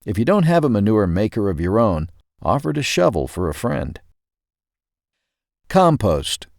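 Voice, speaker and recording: A man reading a scripted magazine article aloud in a measured, clearly emphasized narrator's delivery. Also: fairly low pitch, very animated, no echo, clean studio sound.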